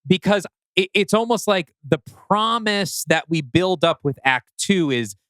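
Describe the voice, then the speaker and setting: Clear, smooth-voiced man talking analytically. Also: sits high, lively intonation, measured pace, fairly bright tone, dry recording. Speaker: podcast co-host. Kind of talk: casual analytical story discussion.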